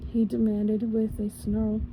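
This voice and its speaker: A woman reading a fairy tale aloud in a gentle ASMR style, now and then stumbling and correcting herself. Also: mid-range voice, completely flat delivery, slow pace, a little hiss or hum, poor audio. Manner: gentle, with small slips and self-corrections. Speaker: ASMR storyteller. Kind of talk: soft ASMR story reading.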